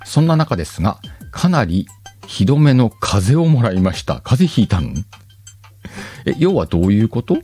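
A man's voice: mid-range voice, very animated, moderate pace, warm tone, slightly rough, faint music behind. A man, hosting a casual chat show, reading listener letters aloud and chatting about them, often laughing. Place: home recording.

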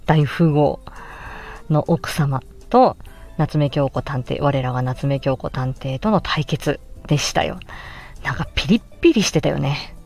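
Low-voiced woman chatting over background music. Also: a little slow, vocal fry, a little mellow. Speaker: podcast host and amateur voice actress. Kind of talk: casual solo chat looking back on an audio drama.

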